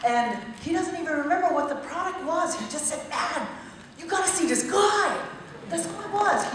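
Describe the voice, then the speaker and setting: A woman from Maui giving an energetic testimonial speech to a crowd at a business event. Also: very high voice, moderate pace. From Maui, Hawaii. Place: large event room. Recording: echoey room from far off, light noise.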